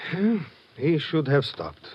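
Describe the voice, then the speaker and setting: Higher-voiced, formal, earnest police officer. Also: male voice, wildly swooping pitch, takes it slowly, slight background noise, full character voice. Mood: puzzled. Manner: formal, precise, uncontracted phrasing. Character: earnest, puzzled foreign male police officer. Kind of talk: 1950s radio drama acting. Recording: dull vintage radio recording.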